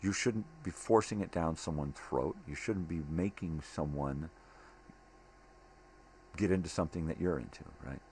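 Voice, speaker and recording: A man's voice: very deep voice, moderate pace, darkish tone. An older man rambling casually in a monologue, blunt, opinionated and given to swearing. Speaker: daily vlog host. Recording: clearly noisy home recording.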